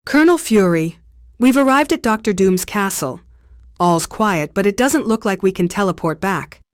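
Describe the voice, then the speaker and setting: A woman's voice: mid-range voice, expressive, normal speed, no room sound, studio quality, lightly acted. A woman voicing a dutiful, composed superhero field leader in a video game, with military formality. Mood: focused. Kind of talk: video game mission dialogue.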